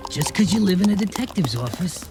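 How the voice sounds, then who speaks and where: Casting: world-weary fatherly bartender, gruff, animated intonation, noir drama over score. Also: high voice, fairly quick, full character voice. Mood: gruff teasing. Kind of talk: noir audio drama acting. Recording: music behind the voice.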